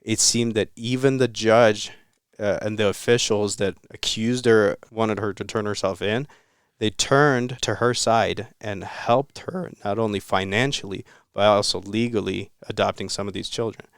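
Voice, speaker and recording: A man with a fairly low, smooth voice talks through a story on a casual podcast, loose and rambling, with the odd swear word. The sound is dry and close, with no room around it. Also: steady tone, moderate pace, no echo. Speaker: podcast host.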